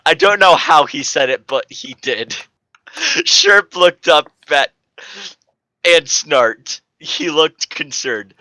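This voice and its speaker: A man's voice: fairly high pitch, expressive, slow pace, sharp tone, no room sound. A man giving a comedic dramatic reading of fan fiction as narrator, stumbling and correcting himself.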